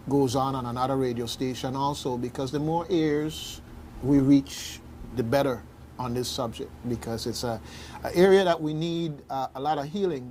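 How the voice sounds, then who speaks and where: A man hosting casual radio talk, halting and rambling, often repeating his phrases. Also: medium pitch, warm tone. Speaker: radio talk show host. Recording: faint background noise.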